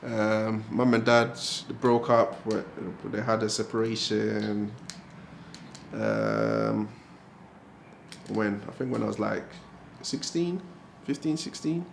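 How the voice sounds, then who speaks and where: A man's voice: lowish voice, takes it slowly, creaky, a little hiss or hum. A young man from London talking casually about his life in an interview, with a laid-back, chatty manner. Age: young adult. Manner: relaxed, casual, with frequent "do you know what I mean". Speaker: hostel resident rep.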